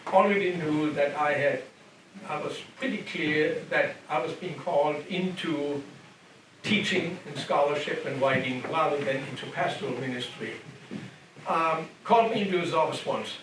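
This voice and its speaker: A man's voice: a little high, normal speed. An older man from Germany with a German accent, giving a church lecture in a conversational way, often repeating phrases and asking the room "okay?". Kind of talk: church adult education lecture. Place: church hall. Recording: very echoey hall, distant mic, background noise.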